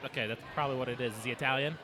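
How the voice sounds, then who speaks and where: A man's voice: medium pitch, steady tone, racing, pushed and bright, a little hiss or hum. A young man co-hosting a podcast, chatting and laughing over beers outdoors at a brewery. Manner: laughs often, jokey and chatty. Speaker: podcast co-host. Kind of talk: casual chat over beers.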